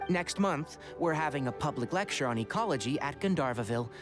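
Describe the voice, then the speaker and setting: A man's voice: fairly high pitch, expressive, a little fast, a bit bright, soft background music. An actor playing a composed, sensible young male scholar in a video game, articulate and wry as he explains things. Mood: composed, explanatory.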